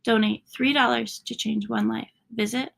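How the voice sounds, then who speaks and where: A woman reading a children's story aloud as a narrator, sometimes dropping into a hushed tone at the ends of lines. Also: on the high side, steady tone, normal speed, vocal fry. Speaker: storybook reader.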